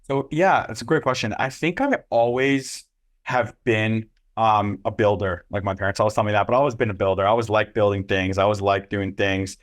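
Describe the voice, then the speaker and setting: A man's voice: fairly low pitch, steady tone, fairly quick. A young man from Israel, answering interview questions casually and loosely, with many ums and restarts. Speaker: agency co-founder and CEO.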